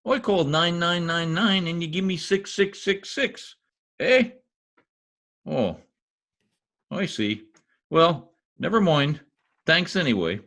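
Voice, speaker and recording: Blustering radio-comedy husband, played by a man, swooping pitch. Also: lively intonation, slow pace, pauses often, a bit bright, exaggerated voice. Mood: chatty, exasperated. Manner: folksy, slangy, exclamatory. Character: blustering, stubborn small-town husband, comic and indignant. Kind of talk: old-time radio comedy acting.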